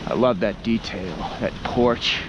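Relaxed man narrating a ski tour outdoors. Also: lowish voice, measured pace, lo-fi sound, noisy background. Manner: relaxed, rambling, with many fillers. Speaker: ski vlogger. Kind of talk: casual narration while skiing. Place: outdoors on a snowy mountain trail.

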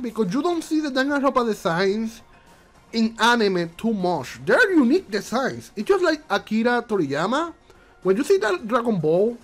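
A man hosting a casual commentary vlog, stuffy and congested from allergies, rambling and restarting his sentences, with English that is not his first language. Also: high voice. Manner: rambling, repeats himself, frequent restarts. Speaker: channel host.

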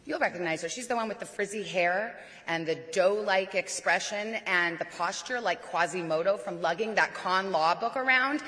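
A woman's voice: mid-range voice, normal speed, hardly any pauses, big-room sound. A woman in her forties giving a commencement speech, playful and rousing, from a podium mic in a large tent. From Arizona. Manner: warm, playful, rousing oratory. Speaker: commencement speaker. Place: large outdoor tent at a graduation.

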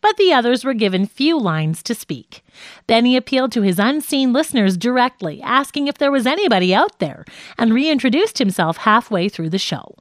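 A woman narrating a scripted nonfiction audiobook in a measured, articulate way. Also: fairly high pitch, sing-song, no echo, clean studio sound.

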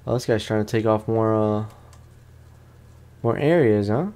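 A man's voice: on the low side, measured pace, dark tone, clear, resonant tone. Man, slangy casual gaming commentary. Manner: slangy, talks to himself and to the game enemy. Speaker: gaming host, let's play streamer.

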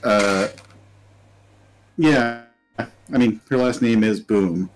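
Slow, deep-voiced sleepy woman. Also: a little hiss or hum, rough recording. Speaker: player. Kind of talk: casual chat on a game stream.

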